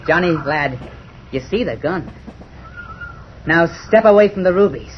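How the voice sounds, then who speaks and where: A treacherous, greedy sea rogue in an old radio play. His highish, theatrical voice swoops and wheedles, on a dull recording with faint noise. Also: expressive, a little slow. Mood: menacing. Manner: theatrical, wheedling, swinging from groans to roars. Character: Morgridge, greedy, treacherous male seafaring rogue. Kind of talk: 1950s old time radio drama acting.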